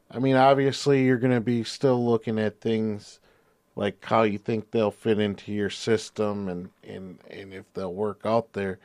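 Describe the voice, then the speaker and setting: A man's voice: medium pitch, a little mellow, no echo. Easygoing guy on a sports podcast, lots of "you know", no room sound. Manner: agreeable, many you-knows and restarts. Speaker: podcast co-host. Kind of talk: casual sports chat.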